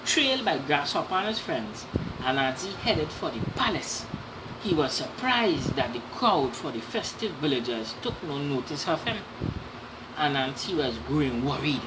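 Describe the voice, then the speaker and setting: Low-voiced, animated storyteller woman, noisy recording. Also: normal speed. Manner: animated, repeats words and explains to young listeners. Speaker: storyteller reading a children's book aloud. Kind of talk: dramatic read-aloud storytelling with asides to listeners.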